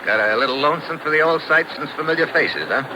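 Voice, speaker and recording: An actor playing a wisecracking American agent in a 1950s radio drama, breezy and hard-boiled, both in dialogue and first-person narration. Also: male voice, a little high, expressive, fast talker, natural voice. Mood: casual, breezy. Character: wisecracking, cool American undercover agent hero. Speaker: lead and narrator. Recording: very noisy old 1950s radio recording.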